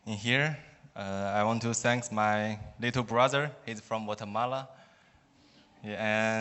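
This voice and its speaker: A young man from China with a Chinese accent, giving a personal testimony in halting, careful non-native English. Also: lowish voice, bright, forceful tone, clear, resonant tone. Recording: long hall reverb.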